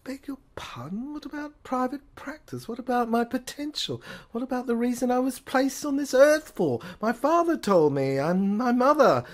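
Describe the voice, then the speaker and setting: A man's voice: extremely high pitch, voice pushed up, exaggerated voice. A man playing a frantic, indignant prisoner in an audio play, stammering and pleading melodramatically. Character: frantic, indignant male prisoner pilot on trial. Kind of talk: theatrical audio play monologue.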